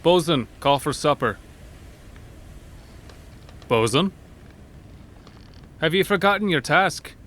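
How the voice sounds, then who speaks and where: An angry, imperious sea captain, acted out by a male narrator barks orders in a horror tale. The voice is pushed high, and the words come slowly and forcefully as commands. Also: expressive. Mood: furious, demanding. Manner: barking, imperious.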